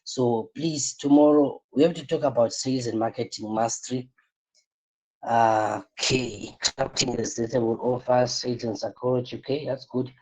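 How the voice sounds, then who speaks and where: Weary husky-voiced man, business coach in a live class, dark mellow tone. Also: mid-range voice, steady tone, moderate pace, low-quality recording. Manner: repeats points, asks rhetorical "what?" questions. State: tired, voice strained.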